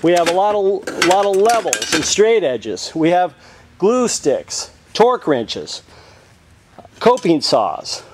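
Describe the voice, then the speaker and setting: A man walking through an auction warehouse, promoting the sale by rattling off long lists of tools and antiques. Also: mid-range voice. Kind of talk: walk-through sales promo.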